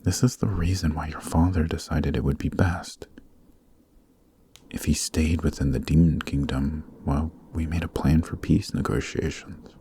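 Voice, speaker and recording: A man playing a noble, gentle demon king in an ASMR roleplay, speaking in a measured, soothing near-whisper. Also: rumbling low, fairly even intonation, slight background noise. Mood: persuasive, grave. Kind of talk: ASMR roleplay, soft dramatic acting.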